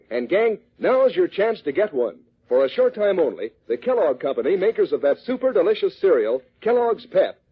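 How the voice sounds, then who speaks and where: A man announcing a 1940s radio advertisement for children, as an eager, emphatic pitchman. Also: husky. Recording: lo-fi, tinny old radio recording.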